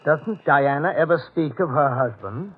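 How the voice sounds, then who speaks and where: Menacing, brooding fugitive on old radio. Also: male voice, a little high, expressive, breathy edge. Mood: ominous, brooding. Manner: clipped, intense, halting. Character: menacing, brooding male fugitive, unstable and commanding. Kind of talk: 1940s radio drama acting. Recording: tinny vintage radio recording.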